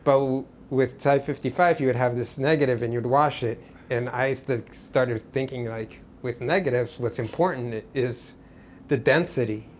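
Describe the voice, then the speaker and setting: A man from Portugal, an artist guest, talking about his work in a relaxed, rambling way with many restarts. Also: mid-range voice, normal speed, a little hiss or hum. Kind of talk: casual interview.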